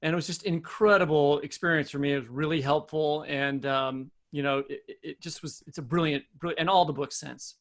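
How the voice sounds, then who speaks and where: A man with an American accent co-hosting an interview, speaking conversationally and effusively, with halting restarts. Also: fairly high pitch, fairly even intonation, fairly bright tone. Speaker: podcast co-host.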